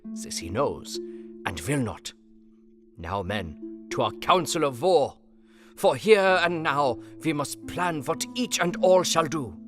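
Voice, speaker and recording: A man's voice: a little high, animated, slow, a bit bright, faint music behind. An aging, learned vampire hunter in a gothic audio drama. He speaks with stately, old-fashioned formality. He is grave and determined, a leader used to being heard. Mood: grave, rallying. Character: older learned European male vampire hunter, grave and resolute. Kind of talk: dramatized audio book acting.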